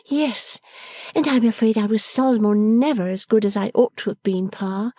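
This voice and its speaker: A woman's voice: mid-range voice, moderate pace, dark tone, no echo, clean studio sound, voice pushed up, lightly acted. A woman reading the part of a playful, affectionate young woman who teases and orders her father about. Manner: teasing, commanding, merry.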